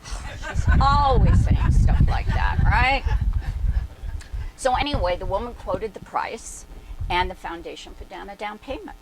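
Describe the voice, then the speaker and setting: A woman's voice: medium pitch, lively intonation, unhurried, pauses often, low-quality recording. A woman performing a dramatic reading as a brash, independent-minded woman recalling her past in emphatic, conversational asides. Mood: insistent, puzzled. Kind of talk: dramatic reading, animated first-person storytelling.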